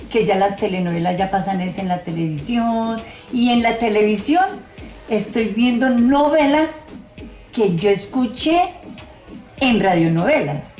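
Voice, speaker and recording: An older Colombian woman gives a personal testimony, plain-spoken and a little halting. Her voice sits at a middle pitch, recorded in a roomy space with music playing underneath. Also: darkish tone. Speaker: audience member giving testimony. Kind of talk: reminiscing testimony.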